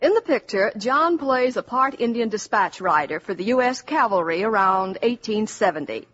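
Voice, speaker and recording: A woman's voice: medium pitch, lively intonation, moderate pace, fairly bright tone. A woman reporting film news in scripted 1950s radio style. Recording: dull, hissy 1950s radio recording.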